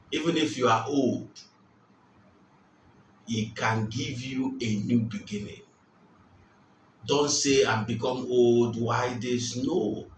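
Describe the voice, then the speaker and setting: Male preacher, slow, emphatic delivery, repeats key lines, mid pitch, distant mic in an echoey room. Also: slight background noise. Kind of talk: sermon. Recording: roomy, distant mic, faint ambience.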